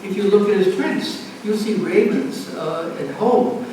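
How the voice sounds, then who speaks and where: A woman in her nineties from the United States reminisces to an audience in a halting way, often repeating phrases. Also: mid-range voice, lively intonation, mellow. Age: 90s. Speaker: speaker at a family art show. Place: community center event room. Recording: echoey, noisy room, distant microphone.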